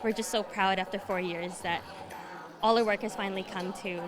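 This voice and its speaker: A woman's voice: mid-range voice, fairly even intonation, fairly quick. A young woman from Dubai, a fashion design graduate, talking about her work in an earnest, slightly hesitant way. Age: young adult. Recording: crowd chatter behind.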